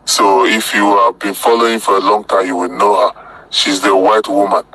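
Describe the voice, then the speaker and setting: A man from southern Nigeria with a Nigerian accent, recounting a personal experience in casual, halting short phrases that often repeat. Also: fairly low pitch, steady tone, crowd noise, low-quality recording. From Delta State, Nigeria. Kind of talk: casual spoken testimony.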